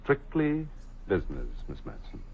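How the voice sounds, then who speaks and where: An actor playing a suave, flirtatious wealthy shipping millionaire in a vintage radio drama, speaking in a polished, flowery manner. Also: male voice, medium pitch, huge pitch swings, moderate pace, a little hiss or hum. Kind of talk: 1940s radio acting. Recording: dull old radio recording.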